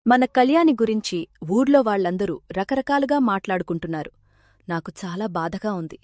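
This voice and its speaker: A woman playing a gentle, self-sacrificing village wife in a children's moral cartoon, speaking earnestly. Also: mid-range voice, animated, moderate pace, no echo, studio quality, close to an everyday voice. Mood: insistent, sorrowful.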